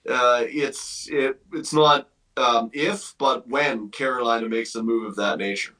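A man's voice: mid-range voice, steady tone, unhurried, sharp tone, recorded from a distance. A man giving emphatic sports commentary as a guest analyst, thinking aloud and repeating phrases as he builds his point.

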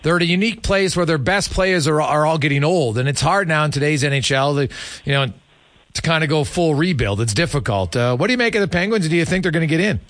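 A man's voice: on the high side, animated, quick pace, a bit bright. A man hosting a sports show, asking questions in a casual, conversational interview style. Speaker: sports radio host.

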